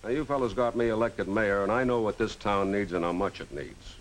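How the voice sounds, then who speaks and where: Guy acting small town mayor man, earnest n folksy, lowish voice, noisy old 50s film. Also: a little fast, natural voice. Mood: earnest, persuasive. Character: civic-minded, persuasive small-town mayor. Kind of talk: 1950s film drama acting.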